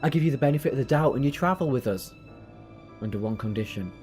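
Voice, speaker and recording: A man's voice: mid-range voice, animated, quick pace, a little mellow, soft background music, natural voice. Man acting a self-assured rebel time-traveller. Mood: measured, offering. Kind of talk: audio drama acting.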